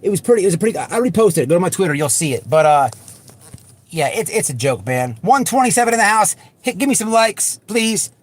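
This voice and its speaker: A man hosting an unscripted livestream, talking bluntly and repetitively to his viewers, with halting false starts. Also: sits high, sing-song. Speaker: livestream host.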